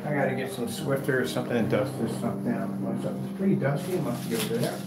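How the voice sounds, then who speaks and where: A middle-aged American man with a mid-pitched voice mutters and grumbles casually to himself. He is heard in an echoey room at home, well away from the camera, with loud music playing. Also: animated, fast, distant mic. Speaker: vlogger. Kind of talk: casual rambling self-talk while tidying. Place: indoors, home room with projector screen. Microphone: far camera.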